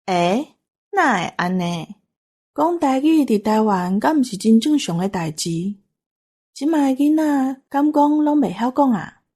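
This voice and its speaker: A woman's voice: high-pitched, animated, unhurried, mellow, slightly rough. A woman with a Taiwanese accent talking conversationally as a co-host. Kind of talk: conversational discussion.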